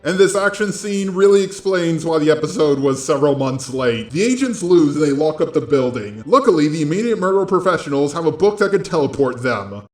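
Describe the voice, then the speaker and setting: A man's synthetic robot voice narrating a scripted review in a deadpan, sarcastic, sweary way. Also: a little high, a little fast, hardly any pauses, roomy sound, faint music behind. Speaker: video reviewer.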